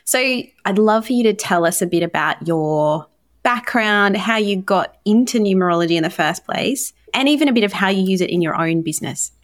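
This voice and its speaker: A woman's voice: mid-range voice, lively intonation, a little fast, dry recording, clean studio sound. A woman hosting a podcast interview, welcoming and encouraging her guest. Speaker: podcast host.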